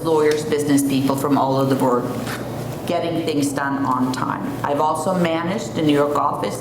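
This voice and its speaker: A woman with a Swedish accent and a low, grounded voice gives an earnest candidate statement, now and then pausing on a filler. The sound is roomy, with clear background noise around her. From Sweden. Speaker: city council candidate. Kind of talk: candidate forum opening statement. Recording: echoey room with noise.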